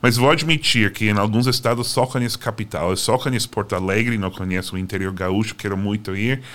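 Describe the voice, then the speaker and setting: Low-voiced American man chatting. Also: fast, a bit bright. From Boston, United States. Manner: joking, chatty, many restarts. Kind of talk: casual chat.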